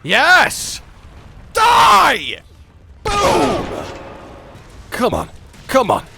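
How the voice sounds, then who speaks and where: A man in casual, playful gameplay banter as a co-host, excitable and given to swearing. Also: very high voice, drawn-out words, gravelly, raised voice, a little hiss or hum. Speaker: co-host of a gaming video.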